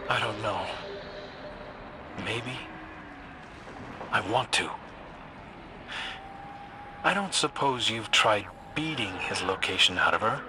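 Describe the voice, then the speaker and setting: A man playing an earnest, conflicted agent in a video game, speaking with quiet restraint. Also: on the low side, lively intonation, slow, pauses often. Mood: uncertain. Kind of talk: video game cutscene dialogue. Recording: clear noisy ambience behind.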